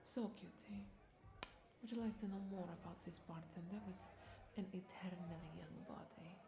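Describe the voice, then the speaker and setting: Flirty, creaky-voiced vampire bartender played by a woman. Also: lowish voice, normal speed, crowd noise, lightly acted. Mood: flirty, teasing. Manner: flirtatious, teasing, breathy asides dropping into whispers. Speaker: audio roleplay voice performer. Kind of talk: intimate scripted audio roleplay.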